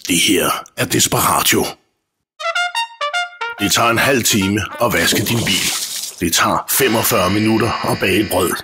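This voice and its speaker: A man's voice: lowish voice, pauses often, natural voice. A man delivering a mock-serious scripted radio jingle as a solemn, dramatic announcer. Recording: produced jingle over loud music. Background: music.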